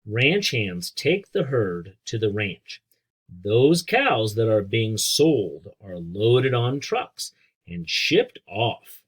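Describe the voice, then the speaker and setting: A husky-voiced American man reads aloud like a teacher with young pupils. His pace is unhurried and his pitch rises and falls in a lively way. He explains things in simple terms and talks straight to the children. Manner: simple, explanatory, addressing young listeners with questions. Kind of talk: read-aloud lesson with asides and questions.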